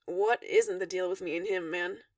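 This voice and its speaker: A woman's voice: lowish voice, normal speed, no room sound, studio quality, far from the mic, voice pushed down, natural voice. A woman voicing a cool, slangy, nonchalant young male troll in a story read aloud.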